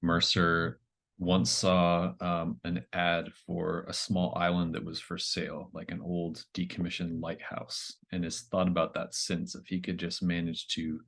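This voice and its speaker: A man's voice: deep voice, completely flat delivery, unhurried, creaky, fairly bright tone. A man talking casually as a player in a tabletop roleplaying game.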